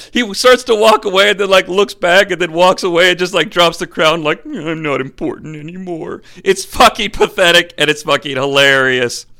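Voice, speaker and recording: Animated sarcastic young man reviewing a film. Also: high voice, gravelly. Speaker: podcast host.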